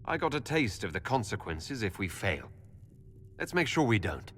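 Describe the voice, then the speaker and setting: A composed, expressive game hero. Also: male voice, lowish voice. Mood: firm. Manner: measured, pragmatic, dryly joking. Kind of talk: video game dialogue acting. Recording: faint background noise.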